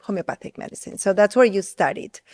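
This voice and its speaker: A woman hosting an interview as a casual chat, informal and quick to interject and rephrase. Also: medium pitch, darkish tone. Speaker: interview host.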